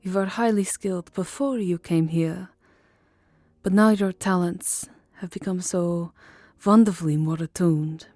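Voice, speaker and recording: A woman playing a lovelorn, melancholy vampire countess in an ASMR roleplay, speaking softly, formally and hesitantly, often near a whisper. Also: fairly low pitch, measured pace, dry recording, faint music behind, natural voice. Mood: tender, romantic. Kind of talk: ASMR roleplay voice acting, second-person romance.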